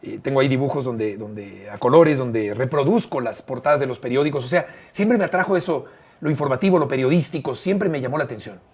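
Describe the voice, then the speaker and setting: A Mexican man in his fifties with a Mexican accent, a sports commentator telling stories in an interview, expressive and emphatic with frequent fillers. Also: medium pitch, brisk, breathy edge. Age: 50s. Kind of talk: conversational interview storytelling.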